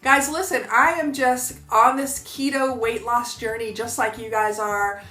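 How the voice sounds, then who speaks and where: A woman's voice: on the high side, steady tone, unhurried, pushed and bright, roomy sound. A woman in her fifties vlogging in a chatty, upbeat way, full of fillers and asides to her viewers. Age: 50s. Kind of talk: casual talk to camera.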